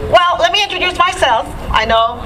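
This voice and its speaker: A woman's voice: extremely high pitch, expressive, a little fast. A woman from Guyana giving an emphatic political speech to an outdoor crowd by a riverfront. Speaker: rally speaker. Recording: noisy outdoor crowd recording.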